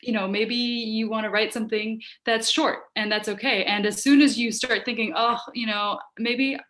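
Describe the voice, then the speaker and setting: A woman in her thirties, a native Hungarian speaker, talking informally and haltingly as she answers questions as a writer on a video call. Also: fairly high pitch, steady tone, a bit bright. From United States, Hungarian-speaking home. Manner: thoughtful, halting, thinking aloud. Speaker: writer giving an online workshop. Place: book-lined room at home. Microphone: video call, wearing headphones.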